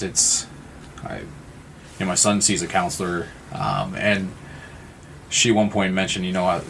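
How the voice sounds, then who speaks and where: Male podcast participant, low-pitched monotone, reflective, halting delivery with repeated words. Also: a little hiss or hum, rough recording. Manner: halting, repeats words while thinking aloud. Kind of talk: reflective conversational discussion.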